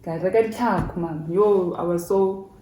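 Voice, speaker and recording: A woman's voice: on the low side, slow pace, mellow, slight background noise. A young woman with a South African accent chats and tells stories informally, switching between languages as she goes. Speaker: YouTuber hosting a story time with her mother. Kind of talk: casual chat and storytelling. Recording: roomy, echoing indoor sound.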